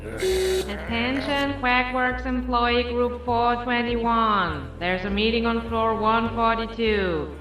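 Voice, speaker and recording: A woman plays an officious cartoon office announcer over an echoey, distant PA. Her voice is fairly high and slow, with a deadpan, measured delivery. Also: faint music behind. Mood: flat, official. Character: dry, officious corporate PA announcer. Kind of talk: public address announcement. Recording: echoey, distant public address sound.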